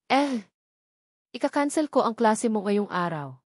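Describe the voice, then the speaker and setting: A woman voicing a possessive husband in a romance audiobook, soft-spoken and earnest, pleading yet insistent. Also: on the high side, expressive, a little slow, no echo, studio quality. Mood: firm. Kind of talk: dramatized romance audiobook dialogue.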